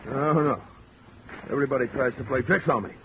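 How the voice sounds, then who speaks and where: An actor playing a stammering, unhinged ex-convict. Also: male voice, medium pitch, brisk, a little hiss or hum, lightly acted. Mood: menacing. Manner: stammering, paranoid, swings from dazed to threatening. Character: confused violent ex-convict man, unstable. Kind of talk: 1940s radio acting. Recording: dull old radio broadcast recording.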